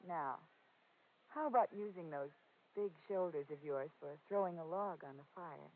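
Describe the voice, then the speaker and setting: A woman's voice: lowish voice, moderate pace, lightly acted. Coy femme fatale widow played by a woman. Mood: flirtatious. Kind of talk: 1940s radio acting. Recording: tinny, narrow-band old radio recording.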